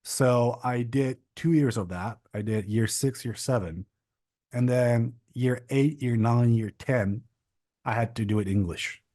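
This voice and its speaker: A man's voice: medium pitch, measured pace, warm tone, no room sound, clean studio sound. A young man from the Republic, a podcast guest telling his story in a casual, rambling way with lots of fillers. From Czech Republic. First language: Czech. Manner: rambling, restarts and stacks of fillers. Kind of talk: casual interview chat.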